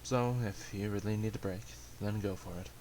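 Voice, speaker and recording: A man's voice: on the low side, fairly even intonation, moderate pace, a little mellow, close to an everyday voice. Man voicing a composed, practical male companion over hiss. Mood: calm, advising. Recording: hissy amateur home recording.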